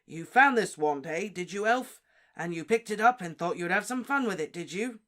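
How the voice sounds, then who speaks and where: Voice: mid-range voice, lively intonation, moderate pace, voice pushed up, close to an everyday voice. A reader voicing a stern, officious male wizard official who barks his questions. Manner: sternly barking, interrogating.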